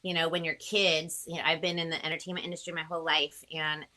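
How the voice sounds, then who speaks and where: A woman's voice: low-pitched, flat delivery, fast, sharp tone. A woman chatting casually and appreciatively as the host of a music interview. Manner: gushing, appreciative. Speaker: host and singer-songwriter. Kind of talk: casual video-call chat.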